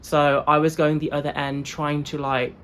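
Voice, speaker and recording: A woman's voice: deep voice, flat delivery, normal speed, a bit bright, slight background noise. A young woman from England, chatting loosely to camera in a vlog, full of fillers and asides. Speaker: vlogger. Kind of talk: casual chat to camera.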